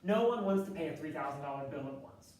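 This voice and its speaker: A man teaching a math class with a loud voice, talking casually and thinking aloud with fillers and self-corrections. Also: a little high, animated, fast talker, a little hiss or hum. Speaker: math instructor. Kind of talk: classroom lecture. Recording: echoey classroom, distant mic.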